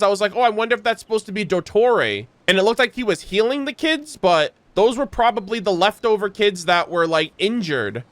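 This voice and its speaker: A man hosting a gaming video, giving casual, expressive, slangy reaction commentary close to the mic. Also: high-pitched, dry recording. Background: game music.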